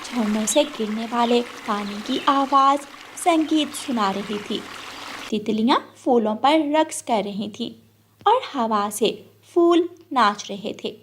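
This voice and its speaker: Female narrator, high voice, very slow pace, scripted children's storytelling. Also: slightly rough.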